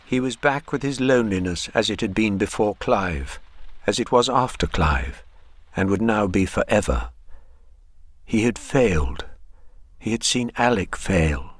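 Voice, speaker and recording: An actor narrating a radio drama as a literary storyteller, with a measured delivery. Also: male voice, lowish voice, animated, no room sound. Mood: grave, sorrowful.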